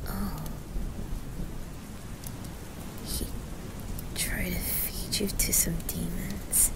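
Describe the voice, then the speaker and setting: A woman voicing a gentle, caring, hesitant anime boy in an ASMR roleplay, soft, breathy and often whispering. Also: fairly low pitch, noisy background. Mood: curious, hesitant. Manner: hesitant, quiet, with pauses.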